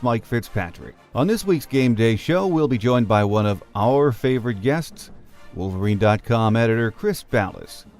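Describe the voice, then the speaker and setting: A man's voice: medium pitch, darkish tone. A man hosting a sports podcast, delivering prepared introductions and commentary. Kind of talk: scripted show introduction and commentary.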